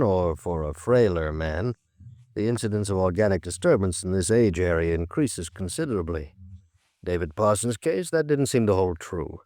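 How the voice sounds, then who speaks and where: Thoughtful middle-aged doctor, lowish voice, educated and measured, old 50s radio drama, very dry sound. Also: male voice, a little mellow, no echo. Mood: professional. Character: big graying doctor, measured and reflective. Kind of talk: 1950s American radio drama acting.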